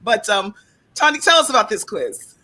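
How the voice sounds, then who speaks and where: A woman hosting a panel discussion, lively and emphatic, with a playful streak. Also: medium pitch, moderate pace. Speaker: host.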